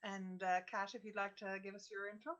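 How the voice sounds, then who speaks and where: A woman's voice: medium pitch, level tone, brisk, fairly bright tone, muffled recording. A woman moderating a convention panel. She is friendly and a little hesitant.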